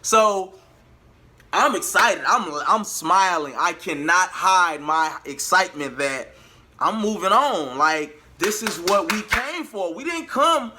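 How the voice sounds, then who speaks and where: A man vlogging in a casual, colloquial storytelling style, animated and acting out bits of dialogue as he goes. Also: high voice, takes it slowly, a little hiss or hum. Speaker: vlogger and singer.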